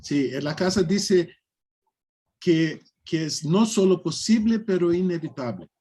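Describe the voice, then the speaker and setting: A man with a fairly high voice talks slowly and thoughtfully. He speaks in a casual online study group over a video call, with gentle laughs along the way. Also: animated, husky. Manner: slow, warm and reflective, with soft laughs. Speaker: speaker leading a faith study talk.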